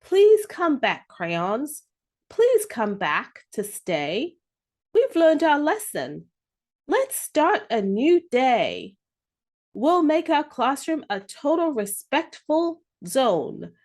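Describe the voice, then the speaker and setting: Female reader as storybook schoolboy, earnest, apologetic, pleading, high pitch, slow pace. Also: wildly swooping pitch, darkish tone, heavily acted.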